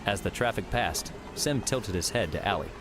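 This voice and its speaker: Narrator for fantasy audiobook: man, low register, scripted storytelling delivery, light music bed. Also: expressive, normal speed.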